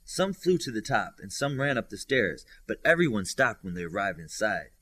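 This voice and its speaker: A man's voice: fairly low pitch, very animated, moderate pace, sharp tone, slightly rough. A man reading scripted narration from a superhero novel as an audiobook reader.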